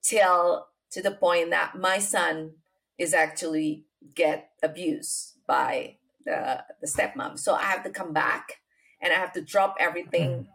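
Indonesian lady, low voice w some gravel, telling her story slow w lots of ums. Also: fairly bright tone, poor audio. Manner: unhurried, many fillers and restarts, non-native grammar. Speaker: guest. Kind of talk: personal story in an interview.

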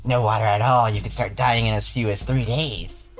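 A man voicing a sinister, bodiless voice that slowly mocks and taunts a trapped girl, read aloud from a storybook. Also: on the low side, steady tone, faint music behind, lightly acted. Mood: gloating menace. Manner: mocking, slow, relishing cruelty.

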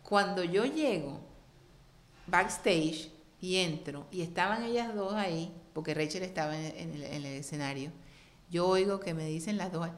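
A middle-aged woman from Colombia speaks as a guest in a relaxed interview. She tells her story with animated expression and changing intonation, acting out what others said. Her voice sits a little lower than most women's. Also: moderate pace. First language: Spanish. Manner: lively, expressive, acts out quoted voices.

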